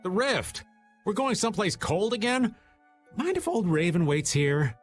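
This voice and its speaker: A wisecracking, easygoing middle-aged video game rogue. His speech swings widely in pitch, with a loose, playful drawl and theatrical grumbling. Faint music plays behind him. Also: big pitch swings, measured pace. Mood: reluctant. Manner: colloquial, playful drawl with comic complaining. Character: joking, easygoing middle-aged man, self-styled old-timer. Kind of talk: animated game voice acting.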